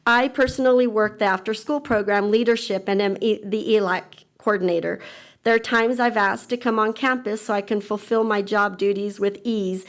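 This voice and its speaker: A woman teacher giving an earnest, measured public comment at a school board meeting, reading a formal statement into a podium microphone. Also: medium pitch, steady tone.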